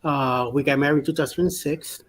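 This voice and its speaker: A man's voice: on the high side, fairly even intonation, brisk. A man from Puerto Rico, raised in Miami, chatting as a panel guest and telling his story hesitantly with many fillers. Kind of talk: casual chat.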